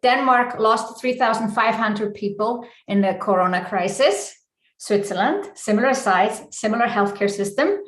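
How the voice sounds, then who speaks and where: A woman with an Icelandic accent leads a live online coaching presentation, speaking deliberately in short, emphatic phrases. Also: fairly high pitch, expressive. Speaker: business coach and session host. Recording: echoey room.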